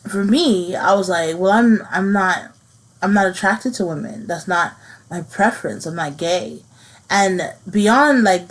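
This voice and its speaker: Earnest woman podcast host, lowish voice. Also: rough recording. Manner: earnest, halting, repeats phrases as she processes. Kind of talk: personal reflective monologue.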